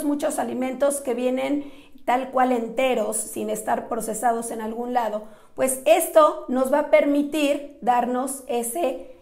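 A woman in her forties with a Mexican accent and a slightly hoarse voice, talking casually and effusively to the camera as a nutritionist hosting her show. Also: high-pitched, darkish tone. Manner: effusive, friendly, thankful. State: slightly hoarse, recovering from a sore throat. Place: small indoor office. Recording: echoey small room.

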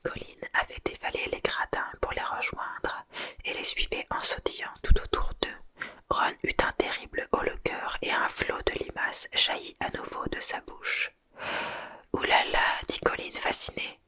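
A storyteller whispering a novel aloud in French in a breathy, unvoiced ASMR style, measured, with acted dialogue. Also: pushed and bright. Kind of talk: whispered audiobook reading, ASMR.

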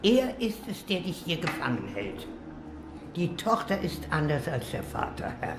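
An actress playing an excitable, secretive helper pledging protection in a radio drama. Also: female voice, on the low side, a little slow. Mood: confiding, urgent. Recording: echoey, noisy ambient sound.

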